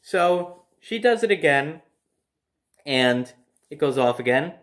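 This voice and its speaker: A young man tells a story from his own life in a casual way. His voice sits a little high for a man, and its pitch moves up and down a great deal as he goes. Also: animated, slow, a bit bright. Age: young adult. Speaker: storyteller, vlogger. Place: living room at home, by a window.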